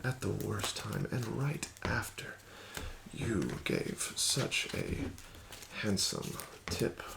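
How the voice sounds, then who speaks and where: Low gravelly soft-spoken man. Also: very animated, slow pace, noisy background. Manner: formal, courteous, soft-spoken with whispered asides. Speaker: ASMR roleplay casino dealer. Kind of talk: ASMR roleplay.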